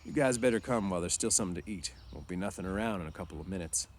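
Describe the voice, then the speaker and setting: A man reading aloud, voicing Slim, a calm, dignified male mule driver who speaks gently and slowly in plain ranch talk. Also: low voice, a little hiss or hum. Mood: gentle, calm. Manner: gentle, slow, quiet.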